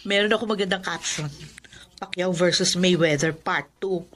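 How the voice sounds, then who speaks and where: A woman's voice: fairly low pitch, normal speed, a bit bright, slight background noise, natural voice. A woman acting a vain, teasing young woman in a Filipino radio drama, flippant and mocking.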